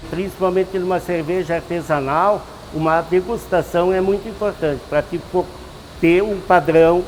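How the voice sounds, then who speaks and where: Older man, high voice. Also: fairly even intonation, big-room sound, a little hiss or hum, low-quality recording. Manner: unhurried, instructive, folksy. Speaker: master brewer. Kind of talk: explanatory interview answers.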